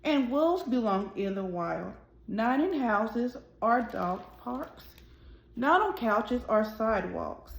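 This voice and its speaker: A woman hosting a children's read-aloud, speaking deliberately and directly to young listeners as she reads a storybook. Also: fairly high pitch, expressive, creaky. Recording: roomy, echoing sound.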